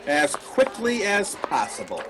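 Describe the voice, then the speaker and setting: A man's voice: high-pitched, wildly swooping pitch, measured pace, background noise, exaggerated voice. A man playing a jittery, callous company boss in a satirical radio play, exclamatory and mock-earnest. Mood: uneasy. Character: jittery, callous male meatpacking boss named Rat.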